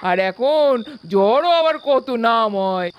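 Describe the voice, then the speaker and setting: A woman's voice: fairly high pitch, animated, unhurried, clear, resonant tone, dull audio, voice pushed down. A woman voicing an old, grumbling doll grandmother in a puppet story, rambling and questioning in a folksy way. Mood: bemused grumbling.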